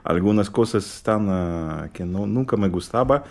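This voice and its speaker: A man with a Russian accent, speaking non-native Spanish in a radio interview, halting and searching for words. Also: on the low side, expressive, gravelly, darkish tone. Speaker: guest pianist.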